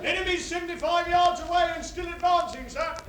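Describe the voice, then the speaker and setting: A man's voice: very high voice, fairly quick, crowd noise, background noise, voice pushed up. A man voicing an anxious young soldier calling out urgent reports in a strained voice. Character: anxious young male army lookout.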